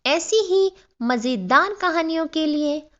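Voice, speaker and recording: A woman reading a scripted emotional story as a storyteller, in measured phrases. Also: high voice, animated, a bit bright. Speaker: storyteller, channel narrator. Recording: dull, lo-fi sound.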